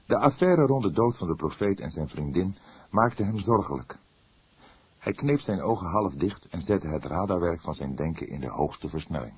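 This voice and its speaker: A man reading a detective novel as an audiobook narrator, in measured, dramatic storytelling. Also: sits low, expressive, darkish tone, breathy edge, no echo.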